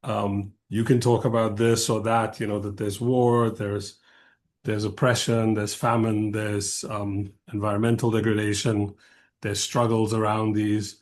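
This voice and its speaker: South African-born man in his fifties, lowish voice. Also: level tone, measured pace, a little mellow. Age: 50s. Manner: thoughtful, digressive, reflective. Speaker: guest speaker, professor. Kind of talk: academic panel talk.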